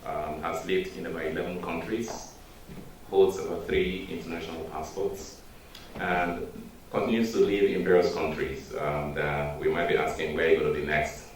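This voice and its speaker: A man with a Nigerian accent, a native Igbo speaker, giving a measured, formal introduction of a guest with frequent hesitations in a university lecture hall. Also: low-pitched, little pitch movement. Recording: echoey hall, distant mic, faint noise.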